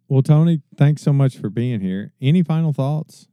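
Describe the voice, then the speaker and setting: Low, dark-voiced male host. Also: animated, normal speed, clear, resonant tone, no room sound. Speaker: podcast host. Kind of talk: hosting an interview.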